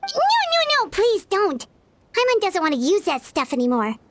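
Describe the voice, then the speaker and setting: A tiny flying game companion, excitable and chatty. Her voice is extremely high and bounces all over the place. She refers to herself by name instead of saying "I". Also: normal speed, no room sound, full character voice. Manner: talks about herself in third person, excitable. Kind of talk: video game voice acting.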